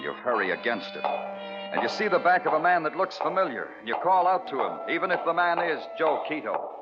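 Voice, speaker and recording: An actor in an old radio crime drama playing a brooding, weary police detective who talks tersely and narrates in moody prose. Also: male voice, on the high side, sing-song, brisk. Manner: terse, world-weary, poetic. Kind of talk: noir radio narration and dialogue. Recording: muffled old radio recording, music behind.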